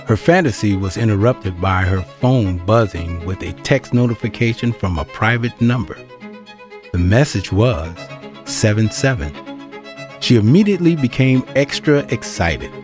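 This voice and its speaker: A man's voice: on the low side, expressive, normal speed, music in the background. A man narrating an audio drama as a storyteller with wry asides.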